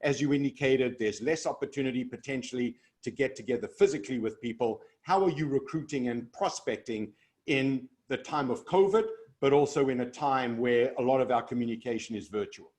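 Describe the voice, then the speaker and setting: A man's voice: medium pitch, brisk, a little mellow. A man hosting a video call panel, encouraging and asking questions with hesitant fillers. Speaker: host.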